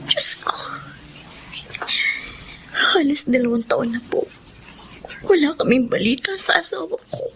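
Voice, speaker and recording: An actress playing a soldier's devoted wife in a Filipino radio drama, in a melodramatic, tearful manner. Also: female voice, high voice, lively intonation, measured pace, creaky, pauses often, a little hiss or hum, heavily acted, rough recording. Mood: anguished longing.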